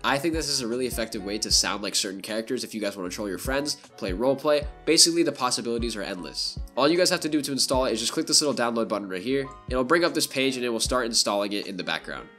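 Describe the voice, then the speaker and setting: A young adult man narrating a software tutorial as a YouTuber. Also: medium pitch, animated, racing, few pauses. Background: soft music.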